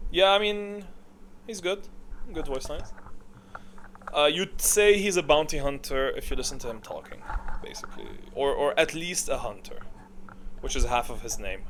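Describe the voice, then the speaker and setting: A man's voice: medium pitch, a bit bright, slight background noise. A man giving casual, unscripted ranking commentary, thinking aloud in an opinionated way. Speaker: video host.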